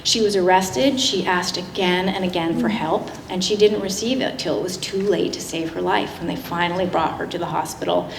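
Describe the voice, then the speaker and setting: A woman giving a public slide presentation in a conversational, halting way with frequent asides. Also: on the low side, fairly even intonation, vocal fry. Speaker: guest speaker giving a public talk. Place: indoor public talk venue. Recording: roomy, echoing hall with noticeable noise.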